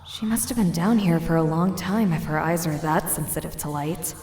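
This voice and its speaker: A woman's voice: low voice, normal speed, a little mellow, slight background noise, lightly acted. An actress playing a wary, sardonic woman survivor who mutters dryly to herself in an audio drama. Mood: wry, thoughtful. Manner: muttering, dry.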